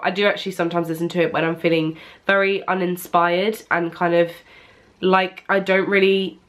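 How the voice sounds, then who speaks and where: Young British woman, congested with a cold, chatty and rambling, slightly low voice for a woman. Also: fairly bright tone. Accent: British English. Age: young adult. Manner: chatty, rambling, many ums. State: blocked nose from a cold. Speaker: vlogger. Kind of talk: casual chat to camera. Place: at home, indoors. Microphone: camera propped on an ironing board.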